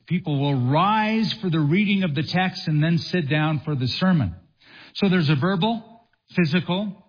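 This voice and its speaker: A man preaching an expository sermon as a pastor, with a husky voice and deliberate, emphatic phrasing built on rhetorical questions. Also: on the high side, highs cut off.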